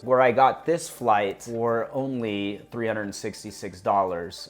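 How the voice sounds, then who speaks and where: American male travel vlogger, low voice, casual and chatty, light music bed. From United States. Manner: chatty, direct to viewers, uses fillers. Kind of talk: casual flight review narration.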